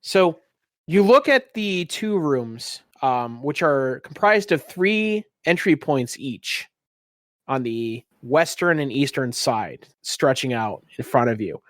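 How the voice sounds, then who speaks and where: A man improvising scene descriptions as game master of a tabletop game, halting as he goes and using ornate wording. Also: medium pitch, big pitch swings, a bit bright, no echo, studio quality.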